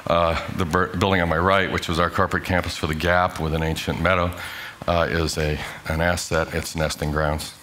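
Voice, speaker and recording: A middle-aged man, an architect, giving a prepared talk with emphatic, measured delivery and rhetorical questions. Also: low voice, flat delivery, pushed and bright, slight background noise. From grew up in Hong Kong. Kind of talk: prepared conference talk played in class.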